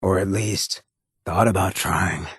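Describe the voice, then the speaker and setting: An actor playing a roguish ghoul mayor in a video game, a swaggering former drifter with a very raspy, gravelly voice and a cocky, streetwise drawl. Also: male voice, low-pitched, steady tone, slow, a bit bright, no room sound, clean studio sound, heavily acted. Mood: candid, cocky. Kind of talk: video game companion dialogue.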